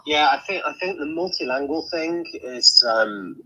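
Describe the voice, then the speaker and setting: Husky, chatty middle-aged man hosting. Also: a little high, expressive, unhurried, sharp tone, lo-fi sound. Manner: rambling, repeats words, chatty. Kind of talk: casual group audio chat. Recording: online audio space.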